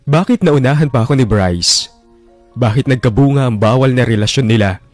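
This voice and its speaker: A man's voice: medium pitch, animated, normal speed, dry recording, soft background music, natural voice. An actor narrating in first person as a jealous young man, bitter and resentful, in a radio drama. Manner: bitter, resentful inner monologue. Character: jealous young man brooding over his ex.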